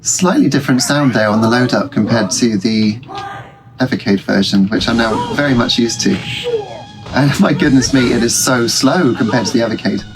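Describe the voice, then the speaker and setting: A man hosts his retro gaming channel, chatting his way through a match at a mid-range pitch. He sounds bunged up from a cold and rambles with ums and restarts. Game music plays clearly behind his talk. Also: normal speed, poor audio. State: congested from a cold. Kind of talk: casual let's-play commentary.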